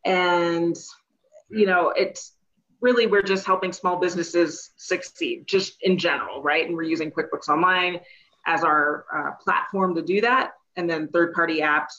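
A woman's voice: on the low side, measured pace, dull audio, slight background noise. A middle-aged woman who runs a bookkeeping firm, chatting as a guest on a live stream. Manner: chatty, relaxed, laughs easily. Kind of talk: casual live-stream chat.

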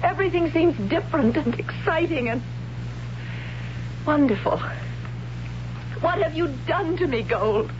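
An actress playing a troubled young warrior woman in a 1970s radio drama, speaking haltingly and close to tears, on a muffled old radio recording with hiss. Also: high voice, expressive. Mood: torn. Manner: halting, hesitant, breaking into tears.

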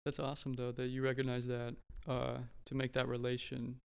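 A man's voice: medium pitch, completely flat delivery, brisk, vocal fry, clear, resonant tone, dry recording. A man hosting a podcast, talking in a laid-back way and swearing freely. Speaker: podcast host. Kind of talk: casual interview chat.

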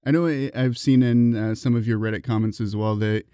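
A man's voice: lowish voice, fairly even intonation, brisk, warm tone, clean tone, dry recording, studio quality. A man hosting a casual interview, asking questions in a conversational way. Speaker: podcast interviewer, host.

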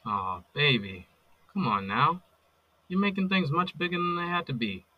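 An actor with an American accent playing a possessive, manipulative ex-boyfriend in an audio drama, coaxing and volatile. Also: male voice, on the high side, lively intonation, normal speed, muffled recording. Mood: sweet, coaxing. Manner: volatile, coaxing with pet names, swearing. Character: possessive, manipulative abusive ex-boyfriend, pleading and menacing.

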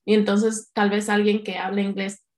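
A woman's voice: medium pitch, level tone, normal speed, a little mellow. A woman in a casual chat, who explains her thoughts at length and often restarts her sentences.

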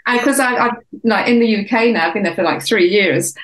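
Older English woman, softened London accent, rambling guest storyteller, roomy echo. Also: mid-range voice, a little fast, slight background noise. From England. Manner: reflective, rambling with many restarts. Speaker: author and guest. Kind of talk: casual interview chat.

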